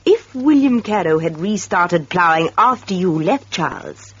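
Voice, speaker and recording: Shrewd, husky, composed farmer's wife. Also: female voice, on the low side, animated. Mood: pointed, insistent. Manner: measured, probing, pointed. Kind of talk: radio drama acting. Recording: dull old radio recording.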